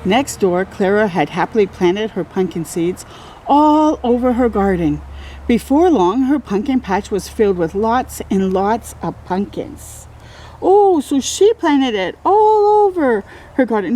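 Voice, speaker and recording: Woman storyteller for a children's read-aloud: high, very animated voice, encouraging asides. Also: measured pace. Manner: exclaims and comments encouragingly, drawing out key words. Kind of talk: expressive picture-book reading with friendly asides to young listeners.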